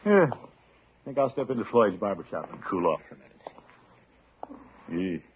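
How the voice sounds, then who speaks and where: A man's voice: medium pitch, sing-song, normal speed, lightly acted. An American man playing a pompous, exasperated middle-aged uncle in a vintage radio comedy, blustering and grumbling. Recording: vintage radio, narrow-band, noisy.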